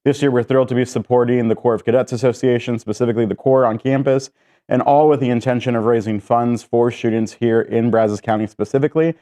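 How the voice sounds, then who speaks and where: A man's voice: mid-range voice, steady tone, quick pace, warm tone. A man, a guest on a sports radio show, upbeat and promotional about a fundraiser. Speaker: guest, alumni club president-elect.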